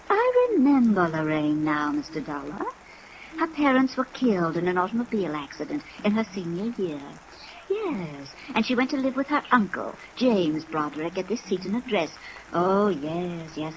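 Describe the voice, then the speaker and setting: A gentle, kindly nun in a vintage radio play. Her voice sits slightly low, and she speaks fondly and wistfully with soft hesitations. The recording carries faint background noise. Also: very animated, moderate pace, rough recording. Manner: wistful, hesitant, exclaiming softly. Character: gentle, fond, helpful nun at a school. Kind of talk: 1950s radio acting.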